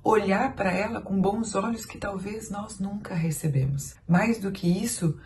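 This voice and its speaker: Earnest low-voiced Brazilian woman educator. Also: mellow, a little hiss or hum. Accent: Brazilian Portuguese. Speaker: parenting educator. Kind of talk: explaining in a podcast discussion.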